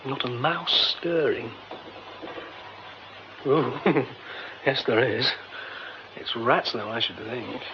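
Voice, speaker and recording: A man's voice: mid-range voice, slow pace, long pauses. An actor playing a young man poking around a derelict theatre, muttering to himself as he goes and crying out for help when he falls into a pit beneath the stage. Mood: musing. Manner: muttering to himself, then panicked shouting. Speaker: radio drama lead. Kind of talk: radio drama acting. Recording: muffled old radio play with hiss.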